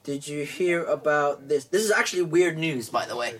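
A man's voice: a little high, lively intonation, normal speed, fairly bright tone, slightly rough, recorded from a distance. A young adult man with a London accent hosting a casual talk show, telling stories in slangy, matey banter. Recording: off-mic, background noise and clicks.

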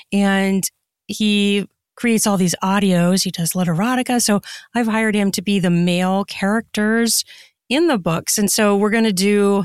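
A woman speaks in a medium-pitched voice with an easy, chatty flow. She sounds like a podcast guest giving casual, expansive answers. The sound is dry, with very little room in it. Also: clear, resonant tone, dry recording. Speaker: podcast guest, erotica author and audiobook narrator. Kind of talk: casual conversational interview answers.

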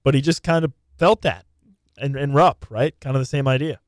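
A man's voice: medium pitch, fairly quick, no room sound, studio quality. Agreeable man co-hosting sports talk. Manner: agreeable, chimes in with short affirmations. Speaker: sports talk show co-host. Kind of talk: casual sports chat.

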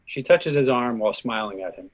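A man with a husky voice reading out a play's stage directions and cues as scripted narration. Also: mid-range voice, expressive, brisk.

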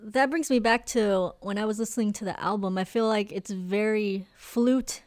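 A woman's voice: mid-range voice, moderate pace, a little mellow. A woman hosting a radio interview in the studio, asking curious, friendly questions. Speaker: radio host.

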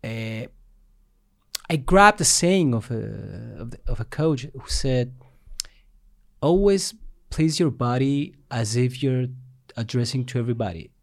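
A man's voice: mid-range voice, lively intonation, measured pace, long pauses, no room sound, studio quality. A man in a casual interview, asking questions and sharing his own examples. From Cyprus.

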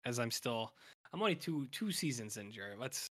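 Hoarse raspy guy rambling thru a tv recap on a podcast, kinda bright sounding. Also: medium pitch, sing-song, normal speed. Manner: rambling with many fillers and restarts. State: hoarse, losing his voice. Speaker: podcast co-host. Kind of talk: casual chat recapping a TV show.

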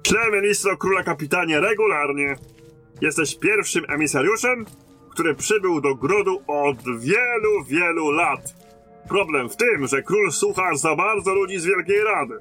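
Guy as a blunt army lieutenant in a fantasy audio play, sharp highish voice, bossy, faint music under it. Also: lively intonation, measured pace, full character voice. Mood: blunt, frustrated. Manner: commanding, blunt, soldierly. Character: battle-hardened, commanding, blunt fantasy lieutenant rallying soldiers. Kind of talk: fantasy audio drama acting.

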